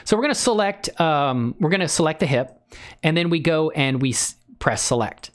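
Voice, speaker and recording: A man hosting a casual live software tutorial, exclamatory and given to interjections. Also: on the high side, animated, normal speed. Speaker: livestream tutorial host.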